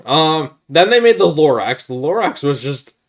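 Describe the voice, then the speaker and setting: A man's voice: a little high, very animated, moderate pace, very noisy. A man co-hosting a podcast, talking casually and giving blunt, critical opinions. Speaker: podcast co-host. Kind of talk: casual opinionated chat about films.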